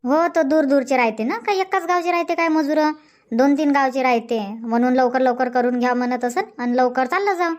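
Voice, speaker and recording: High-voiced nagging village wife played by a woman. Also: fairly quick, natural voice. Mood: chatty. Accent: Varhadi Marathi. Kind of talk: comic cartoon dialogue dubbing.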